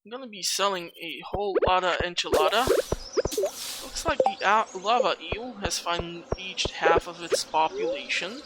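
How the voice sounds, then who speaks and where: Let's play host, mid-pitched voice, casual rambling commentary, heavy background noise with clicks. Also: lively intonation, moderate pace. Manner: rambling, thinking aloud. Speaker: gameplay host.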